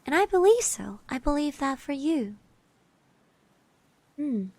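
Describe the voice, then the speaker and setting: Woman, slightly high voice, very slow pace, soft ASMR delivery, gentle encouragement, thoughtful pauses and hums. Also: sing-song, no echo. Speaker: ASMR roleplay streamer. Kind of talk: soft-spoken ASMR roleplay, personal encouragement. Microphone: close microphone, dry.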